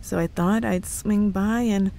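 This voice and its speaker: A woman's voice: medium pitch, steady tone, measured pace, vocal fry, warm tone, a little hiss or hum. A woman playing a cheerful, evasive young girlfriend who is secretly a witch, in a playful ASMR roleplay with feigned innocence. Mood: caring, cozy. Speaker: audio roleplay voice actress. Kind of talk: ASMR roleplay, scripted one-sided dialogue.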